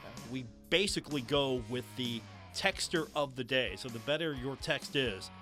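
A man's voice: mid-range voice, normal speed, pushed and bright, faint music behind. A man hosting a live sports talk radio show.

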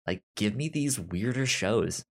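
Halting, stammering man chatting casually. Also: lowish voice, lively intonation. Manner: halting, stammering, repeats words and trails off. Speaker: podcast co-host. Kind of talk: casual pop-culture podcast chat.

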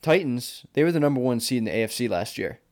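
A man's voice: mid-range voice, lively intonation, fast, a little mellow. An American man co-hosting a sports podcast, talking casually and informally like a confident fan. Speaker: podcast co-host.

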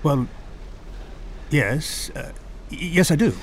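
An actor playing a courteous, steady ship's officer in a period audio drama, measured and formal. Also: male voice, on the high side, slight background noise. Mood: concerned, reflective.

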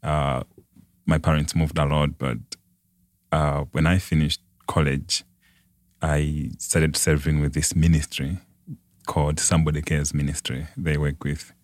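A young man with a Malawian accent and an exceptionally deep voice. He tells his life story in a conversational way as a guest, in a dry recording with hardly any room sound. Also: little pitch movement, a little slow, no echo. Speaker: podcast guest.

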